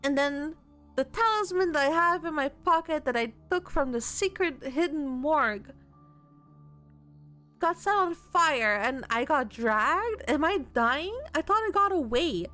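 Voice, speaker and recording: A woman plays a horror game and talks through it out loud. Her voice sits high and swings widely as she reacts and reads from the screen. She swears freely, and faint game music runs underneath. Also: lively intonation, a little slow. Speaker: video game streamer. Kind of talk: horror game playthrough commentary, reading game text aloud.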